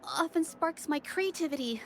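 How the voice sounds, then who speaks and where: A woman's voice: sits high, normal speed, soft background music. A woman playing a shy, earnest young artist in a video game, speaking in a hesitant, apologetic way.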